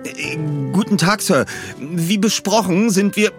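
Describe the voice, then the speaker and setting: A man's voice: high-pitched, unhurried, soft background music, pitched above the performer's own voice. A man voicing a polite, articulate teenage boy detective who speaks formally and precisely, a little hesitantly. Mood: polite, eager.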